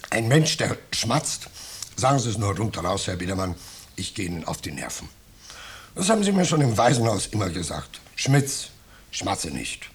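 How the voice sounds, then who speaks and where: An actor playing an ingratiating, self-pitying vagrant, mock-humble and plaintive, in a 1950s German radio drama. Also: male voice, on the low side, sing-song, moderate pace. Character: wheedling, self-pitying male vagrant, falsely humble houseguest. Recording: noisy, clicking 1950s radio recording.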